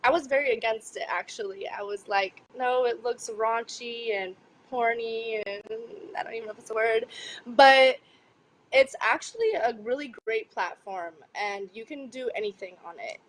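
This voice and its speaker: A woman's voice: on the high side, normal speed, slight background noise, low-quality recording. A woman appearing as a featured guest on a live show, talking casually with lots of fillers. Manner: chatty, relaxed, filler-heavy. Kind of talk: casual talk.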